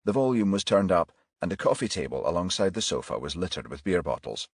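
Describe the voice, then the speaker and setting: Low, husky male audiobook narrator. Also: very animated, fast talker, no echo, clean studio sound. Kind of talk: scripted narration of a crime novel.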